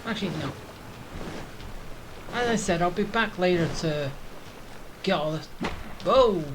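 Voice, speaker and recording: A woman's voice: fairly low pitch, animated, a little slow, background noise. A woman doing casual gameplay commentary, muttering offhand remarks to herself. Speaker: gaming streamer. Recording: loud game music behind voice. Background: game music and effects.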